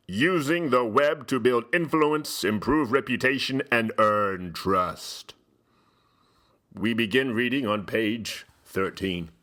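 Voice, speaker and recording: A man playing a pompous theatrical gentleman host, giving a mock-solemn dramatic reading with drawn-out pauses. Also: mid-range voice. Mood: grandly welcoming.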